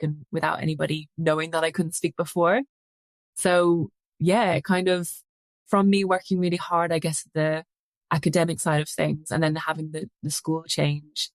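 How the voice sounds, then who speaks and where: A woman's voice: low-pitched, dry recording. A young woman, a podcast guest, candidly recounting her past with frequent "kind of" and "I guess" fillers. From rural Ireland. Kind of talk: reflective personal storytelling.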